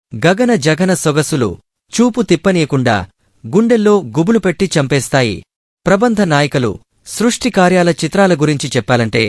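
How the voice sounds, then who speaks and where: Animated, high-pitched man narrating poetically. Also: no echo, studio quality. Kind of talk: scripted, poetic documentary narration.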